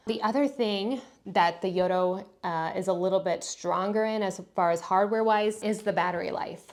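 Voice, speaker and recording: A woman giving a casual, conversational product review as a parent talking to viewers. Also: mid-range voice. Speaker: parent reviewing kids' toys.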